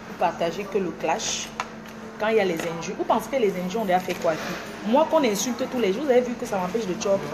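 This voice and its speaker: A woman from Cameroon with a Cameroonian French accent, lecturing her followers emphatically and repetitively in a live video from a train station. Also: mid-range voice. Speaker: social media personality. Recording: noisy station ambience behind her.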